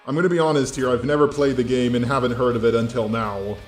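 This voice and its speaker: A man's voice: mid-range voice, fairly even intonation, quick pace. A man delivering scripted online commentary in a blunt, informal way, speaking straight to his viewers. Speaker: host of online drama commentary videos. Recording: roomy echo with music underneath.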